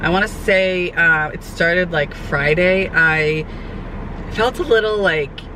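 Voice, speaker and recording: Young woman, hoarse, nasal, rambling casual vlog, fillers, outdoor camera audio, traffic noise. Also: fairly low pitch, slow. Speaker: fitness vlogger and trainer. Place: sitting outdoors, traffic nearby. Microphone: camera mic.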